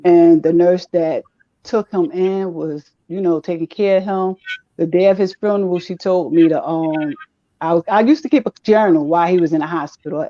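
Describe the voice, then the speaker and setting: Soft-spoken woman on a dull lo-fi line. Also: lowish voice, no room sound. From New Jersey. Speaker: guest author. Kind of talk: casual interview.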